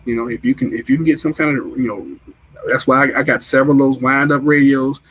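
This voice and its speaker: A man's voice: mid-range voice, steady tone, fast talker, fairly bright tone, slightly rough, rough recording. A man hosting a casual livestream, reading out chat comments and rambling with frequent restarts. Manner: rambling, repeats himself, restarts often. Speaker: livestream host.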